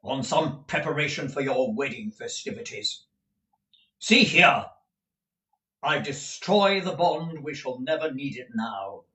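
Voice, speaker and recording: A man's voice: mid-range voice, normal speed, hardly any pauses, a bit bright, lightly acted. Storybook villain, male reader acting: stern male usurer, curt, impatient, menacing; roomy recording. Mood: cold contempt. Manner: brusque, demanding questioning. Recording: roomy echo, distant mic.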